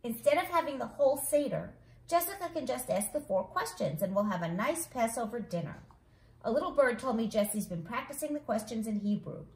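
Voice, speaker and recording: A practical storybook aunt, voiced by a woman reader, firm and persistent. Her voice is fairly high with lively pitch movement, heard in an echoey room. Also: brisk. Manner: firm, matter-of-fact.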